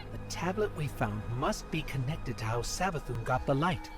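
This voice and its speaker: An actor voicing a small floating robot companion who speaks in an earnest, matter-of-fact way. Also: male voice, medium pitch, lively intonation, moderate pace, lightly acted. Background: music.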